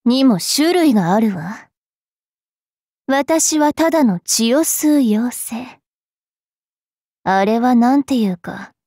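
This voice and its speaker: A woman playing a haughty, mischievous young vampire fairy priestess in an anime game, brash and teasing in a rough-spoken way. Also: a little high, a little mellow, no room sound, studio quality. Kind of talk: anime game character voice lines.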